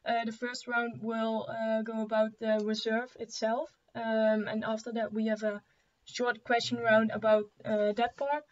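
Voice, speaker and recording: A woman's voice: on the high side, flat delivery, measured pace. Hesitant woman hosting an online event. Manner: hesitant, full of fillers. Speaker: event organizer and moderator. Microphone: video call. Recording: slightly dull online call audio with faint noise.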